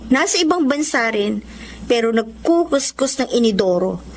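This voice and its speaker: A young domestic worker in a radio drama, her voice pitched high. She is emotive and full of complaint, a hardworking woman nursing resentment. Some noise sits behind the dialogue. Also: animated, normal speed. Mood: bitter, resentful. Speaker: drama actor. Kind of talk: Tagalog radio drama acting.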